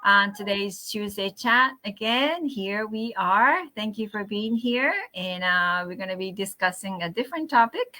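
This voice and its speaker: A woman's voice: mid-range voice, lively intonation, a little slow, few pauses, bright, forceful tone. A woman with a Japanese accent, originally from Japan, hosting a casual group discussion. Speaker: host of a group chat.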